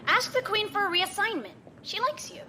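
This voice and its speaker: A woman's voice: very high-pitched, expressive, normal speed, bright, forceful tone, a little hiss or hum, full character voice. A woman playing a hot-headed, emphatic female military officer in an audio drama. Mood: angry, urgent. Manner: indignant, emphatic.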